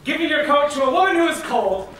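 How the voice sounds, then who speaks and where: A young woman on stage playing a brisk, authoritative scientist, presenting rules in a projected, comic lecture style. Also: high-pitched, lively intonation. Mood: brisk lecturing. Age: young adult. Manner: declarative, projected stage speech. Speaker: actor in a stage comedy. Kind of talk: theatrical comic lecture delivery. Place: large indoor theatre stage. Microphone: far camera. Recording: echoey theatre, distant mic, noisy.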